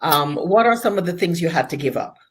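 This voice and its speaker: A woman's voice: lowish voice, lively intonation, brisk, slightly rough. A woman hosting a faith-based interview, enthusiastic and encouraging toward her guest. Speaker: host.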